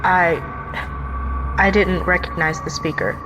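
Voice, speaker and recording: A woman's voice: lowish voice, steady tone, a little slow. A woman reading a scripted first-person horror story as its narrator. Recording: long reverb, prominent music bed.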